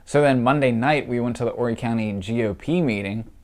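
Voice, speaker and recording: A man's voice: medium pitch, brisk. A young man talking in casual political commentary, congested and nasal from allergies, opinionated and scornful with many fillers. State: congested, stuffy nose from allergies.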